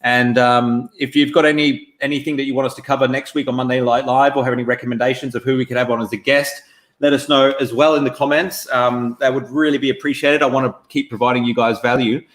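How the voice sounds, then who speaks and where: Male host, live stream interview, relaxed, filler words, roomy echo. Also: medium pitch, fast talker. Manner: relaxed, uses fillers like um, calls guest mate. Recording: echoey room on a video call.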